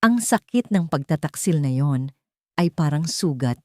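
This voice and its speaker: A low-voiced woman delivers scripted dramatic narration in an earnest, sentimental way. Her phrasing is formal, like a storyteller addressing devoted listeners. The sound is close and dry. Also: expressive, unhurried, mellow, no room sound. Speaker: storyteller and channel host.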